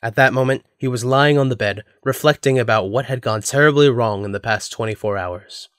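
A man's voice: mid-range voice, a little fast, a bit bright, no echo, studio quality. A man reading scripted story narration as the narrator of an audio drama.

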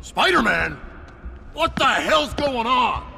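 An actor playing a brash, burly, combative city mayor in a video game, with a gruff and blunt manner. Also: male voice, very high-pitched, sing-song, slow pace, background noise, heavily acted. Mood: angry, confused. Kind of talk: video game action hero acting. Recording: reverberant hall, faint music.

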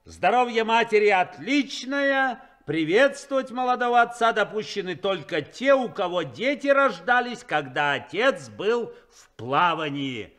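A man's voice: high-pitched, very animated, a little slow, few pauses, a bit bright, full character voice. An actor playing a principled, fatherly ship's political officer in a 1970s Soviet radio play, formal and authoritative. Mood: ceremonious.

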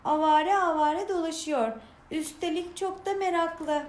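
Lady doing a little farm kid from a storybook, super high n excited, echoey room. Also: a little slow. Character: eager, curious young farm children. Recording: roomy echo, some noise.

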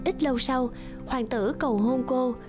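A woman's voice: sits high, normal speed, loud music behind the voice. A woman giving scripted fairy-tale narration as the storyteller of a cartoon.

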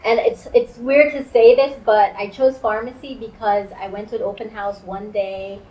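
A woman in her forties from Guyana, telling her story in an interview in a lively, animated way. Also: medium pitch, moderate pace, low-quality recording. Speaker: interview guest. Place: indoors against a pale wall. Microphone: lapel mic.

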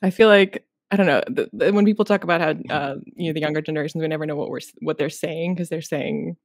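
A woman's voice: low voice, quick pace, dry recording, clean studio sound. A young woman hosting a casual interview over a video call from her home, chatty and thinking aloud. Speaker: host. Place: home room with bookshelves.

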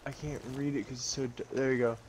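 A man's voice: mid-range voice, level tone, fast talker, a little mellow. Man, co-op gaming partner, casual chat, mid-range voice, noisy game audio, boat and water behind. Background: game sounds of boat and water.

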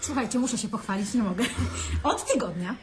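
An actress playing a chatty, excitable hostess at a family dinner in a radio drama. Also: female voice, a little high, brisk, heavy background noise, natural voice. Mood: excited. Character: lively hostess wife, Ola.

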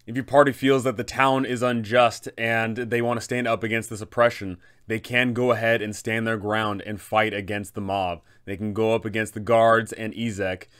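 A man's voice: fairly low pitch, little pitch movement, normal speed, hardly any pauses, a bit bright, clear, resonant tone. A man in his thirties hosting a guide for game masters, explaining things in an emphatic, methodical way. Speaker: host, game master giving advice. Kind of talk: explanatory guide to a tabletop adventure.

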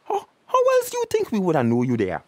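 Stammering, shifty Tobagonian crook. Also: male voice, a little high, wildly swooping pitch, fairly quick, no room sound. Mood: evasive, insistent. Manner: evasive, repetitive, pushy. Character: shifty, nervous male crook collecting money, stammering. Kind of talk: radio drama acting.

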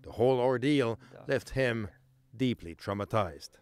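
A male reporter narrating a news feature in a measured, scripted voice-over. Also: medium pitch, clean tone. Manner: measured, even storytelling delivery. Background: music bed.

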